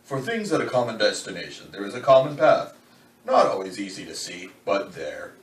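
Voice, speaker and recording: Man playing a coldly calm, low-voiced killer. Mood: insistent, menacing. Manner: measured, deliberate, formal phrasing. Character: calm, menacing, philosophical male killer, coldly fatalistic. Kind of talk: dramatic reading of a novel. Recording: roomy, distant, faint background noise.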